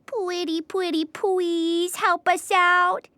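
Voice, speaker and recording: A woman's voice: extremely high pitch, flat delivery, slow, pushed and bright, no echo, pitched above the performer's own voice, heavily acted. A woman voicing a cutesy little clown who begs in wheedling baby talk for an audio drama.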